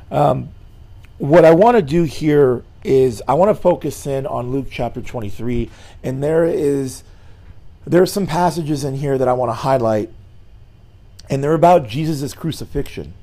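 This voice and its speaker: A slightly hoarse middle-aged man hosting a weekly church show, giving an earnest, informal Bible lesson with many fillers and restarts. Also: a little high, warm tone. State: slightly hoarse, losing his voice.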